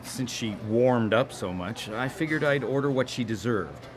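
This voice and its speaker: A man's voice: mid-range voice, moderate pace, noisy background, close to an everyday voice. A man playing an awkward, stammering, defensive blind-dater in a radio drama. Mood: sullen.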